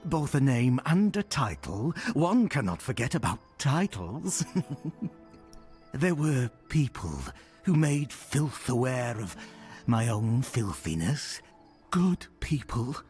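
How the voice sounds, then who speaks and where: A creepy, obsequious scavenger peddler from a fantasy video game. He speaks slowly in a mid-pitched voice that swings expressively, fawning and abasing himself as he lingers over each phrase. Music plays faintly behind him. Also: big pitch swings, darkish tone. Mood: self-abasing, dreamy. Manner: slow, lingering, obsequious, repeats words, chuckles. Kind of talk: video game character dialogue.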